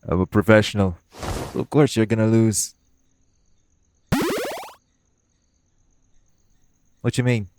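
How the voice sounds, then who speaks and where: A man's voice: on the low side, a little fast, noisy background, lightly acted. A man playing a cocky, teasing, foul-mouthed hitman in an audio roleplay. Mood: gloating. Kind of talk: audio roleplay acting addressed to the listener.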